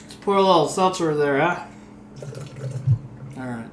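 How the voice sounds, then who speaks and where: A man in his early forties with a fairly high voice rambles through a casual story, laughing and swearing as he goes. He sounds a little tipsy from rum. The room around him is echoey with faint noise behind. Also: animated, a little slow, fairly bright tone. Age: 40s. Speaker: comedian streaming while cooking.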